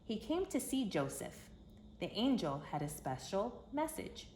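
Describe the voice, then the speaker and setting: A woman reading a children's story aloud in an expressive, emphatic storytelling manner. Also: medium pitch, a little slow. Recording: roomy echo with background hiss.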